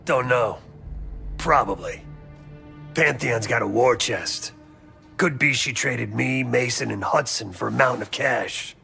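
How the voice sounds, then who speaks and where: An actor playing a blunt, wry American covert operative in a video game drama, talking casually and loyally. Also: male voice, mid-range voice, a little slow, gravelly, sharp tone, faint music behind, full character voice. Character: gruff, streetwise, loyal covert operative, adult man. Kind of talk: video game cutscene acting.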